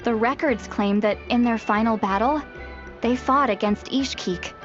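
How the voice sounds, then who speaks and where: Stern, weighty game granny healer, played by a woman, high voice over soft music. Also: a little slow, dull audio, lightly acted. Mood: grave. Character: wary, stern self-styled granny healer, female. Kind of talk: video game voice acting.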